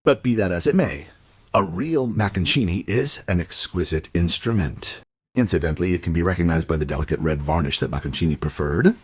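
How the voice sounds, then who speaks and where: An actor playing a smug, suave and evasive violin dealer and smuggler in a radio play. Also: male voice, low voice, a little fast, breathy edge, no echo. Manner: suave, self-assured explaining.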